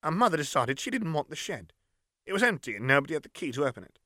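A man voicing a tense, weary middle-aged aunt in an audiobook, speaking in a hushed, terse way. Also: fairly high pitch, sing-song, fast, no room sound, studio quality, pitched above the performer's own voice.

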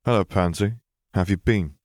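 A man's voice: deep voice, moderate pace, darkish tone, dry recording, clean studio sound, close to an everyday voice. A man voicing a cool young male wizard in an audiobook, understated, controlled and threatening. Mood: guarded, teasing.

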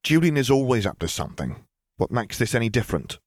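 A sharp-tongued young witch from a fantasy audiobook , voiced by a man. The voice is pushed well up in pitch, urgent and emphatic, with a fearful edge. The recording is close and dry. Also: lively intonation, fairly quick, no room sound. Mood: sharp, suspicious.

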